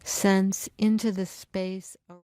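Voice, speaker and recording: A woman teacher leading a guided yoga relaxation in a soothing, unhurried, instructional manner. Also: medium pitch, little pitch movement, mellow, no room sound.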